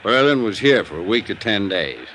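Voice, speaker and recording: An actor playing a gruff, blunt American newspaper editor with a sarcastic edge, in old radio drama style. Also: male voice, fairly low pitch, very animated, moderate pace, fairly bright tone. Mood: blunt, wary. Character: bluff, red-faced male newspaper managing editor. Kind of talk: 1940s-50s radio acting. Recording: noisy old radio recording.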